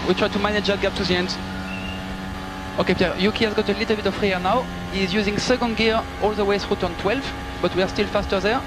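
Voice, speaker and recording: A man working as a race engineer, giving polite, clipped technical instructions and gap updates over team radio. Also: high voice. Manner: polite, instructive, reporting numbers and gaps. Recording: noisy team radio with engine and vehicle noise. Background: loud car and engine noise.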